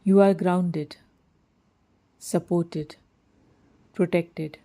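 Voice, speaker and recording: A woman narrating a scripted guided meditation in a soothing, gently measured manner. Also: on the low side, fairly even intonation.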